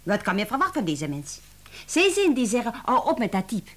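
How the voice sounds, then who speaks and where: An actress playing an excitable, principled young French cook with a French accent, dramatic and indignant, in a radio drama. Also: female voice, fairly high pitch, brisk, full character voice. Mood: indignant, animated. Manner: dramatic, indignant, sprinkles speech with French exclamations.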